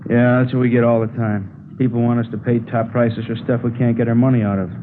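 Male actor as salvage dealer, 1950s radio drama: mid-pitched, obliging, talkative, folksy. Narrow-band, noisy recording. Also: flat delivery, fast, close to an everyday voice. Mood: explaining, defensive. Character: affable, chatty male salvage yard owner. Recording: noisy, phone-like vintage radio broadcast.

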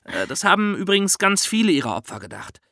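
A man's voice: high voice, sing-song, fast talker, creaky, bright, forceful tone, no echo, studio quality. A man with a German accent telling true-crime stories conversationally as a podcast host, with a wry, ironic touch.

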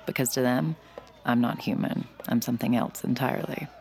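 An actress playing a young adult mind reader in an audio drama, narrating her thoughts quietly and reflectively, sometimes in a whisper. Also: rumbling low, fairly even intonation, fairly quick. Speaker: mind-reading lead character.

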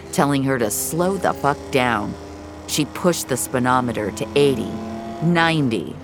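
A woman's voice: very deep voice, noisy background. A woman narrating scripted audio fiction in a dramatic storytelling style.

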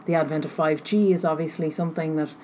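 A woman answering interview questions thoughtfully, pausing often as she searches for her words. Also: sits low, steady tone, dark tone. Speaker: interview guest, technology researcher. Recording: noticeable background noise.

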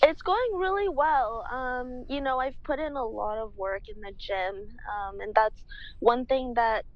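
A woman in her twenties, a pro tennis player, giving measured, unhurried interview answers with many fillers. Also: on the high side, muffled recording. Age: 20s.

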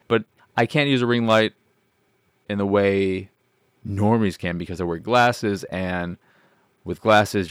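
A man with a low, deep voice chats on his own as a podcast host. He rambles, pokes fun at himself and swears now and then. The sound is dry and close, with no room around it. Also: no echo. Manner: rambling, self-deprecating, swears casually. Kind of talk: casual solo podcast chat.

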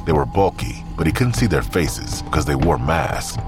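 A man's voice: extremely low pitch, sing-song, normal speed. A man narrating a scripted audio drama as a storyteller. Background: music.

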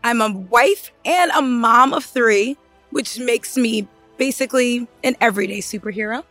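A woman hosting a podcast, bubbly and excitable, her voice hoarse from screaming. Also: on the high side, moderate pace, dry recording, soft background music. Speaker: podcast host.

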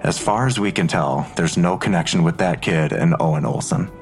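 A man's voice: sits low, normal speed, soft background music. An actor playing a matter-of-fact male investigator methodically laying out a case in an audio drama.

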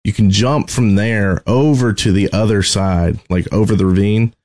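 A man's voice: fairly low pitch, moderate pace, darkish tone. A man co-hosting a podcast, chatting casually about video game tactics. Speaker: podcast co-host.

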